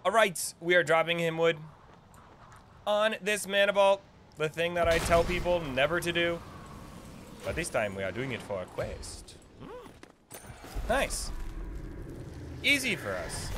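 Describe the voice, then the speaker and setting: A man's voice: on the high side, very animated, measured pace, long pauses, background noise. A man in his thirties giving casual, playful gameplay commentary, talking to himself into a close desk microphone. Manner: playful, talks to himself and the game. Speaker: video game streamer. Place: small indoor room at a desk. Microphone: boom-arm desk microphone, close.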